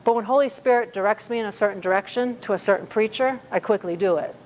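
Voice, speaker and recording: A woman's voice: medium pitch, fast talker, a little mellow, slight background noise, low-quality recording. A woman in her fifties preaching a sermon in a conversational way, with many fillers and restarts. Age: 50s. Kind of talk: sermon at a church service.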